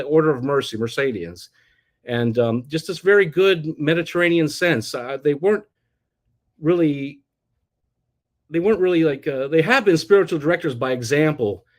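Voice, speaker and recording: A man's voice: a little high, a little mellow. A middle-aged man from the United States, telling his life story as an interview guest in a rambling way, with many restarts and fillers. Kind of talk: casual personal storytelling.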